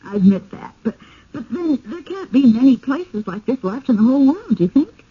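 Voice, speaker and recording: Mild, husky-voiced wife. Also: female voice, high voice, very animated, brisk, exaggerated voice. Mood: soothing. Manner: hesitant, conciliatory. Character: mild, reasonable, placating wife. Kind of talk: radio drama acting. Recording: dull lo-fi old radio broadcast.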